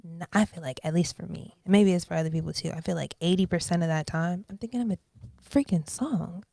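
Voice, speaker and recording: A young woman in her twenties speaking as a podcast guest, with a lowish, gravelly voice. She muses aloud in a loose, animated way, full of "like" and "you know". The sound is dry and close. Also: fast talker, no room sound. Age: 20s. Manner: reflective, animated, many fillers. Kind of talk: casual interview chat.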